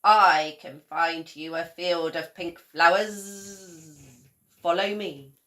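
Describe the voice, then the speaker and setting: A woman voicing a big, helpful stripy bee from a storybook, with a buzzing, drawn-out delivery. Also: lowish voice, a bit bright. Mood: eager.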